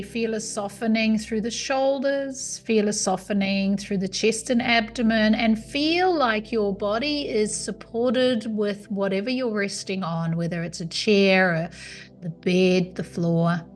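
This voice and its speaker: Calm lady doing guided meditation, soothing n unhurried, medium pitch, bit of music behind her. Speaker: yoga nidra meditation guide.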